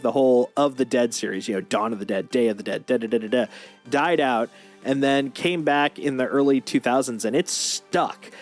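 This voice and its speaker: A man hosting a livestream, thinking aloud in a casual, opinionated, rambling discussion. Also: medium pitch. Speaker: livestream host.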